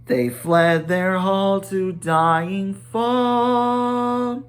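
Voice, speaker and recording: A man voicing a solemn dwarf who sings an ancient lament in slow, drawn-out lines for a storybook reading. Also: sits high, smooth, soft background music, voice pushed up. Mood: mournful, solemn.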